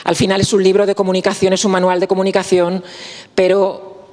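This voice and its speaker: A man from Spain giving a personal talk as guest speaker at a conference, speaking slowly and reflectively with pauses and restarts. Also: high-pitched, completely flat delivery, a little mellow, big-room sound. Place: conference hall.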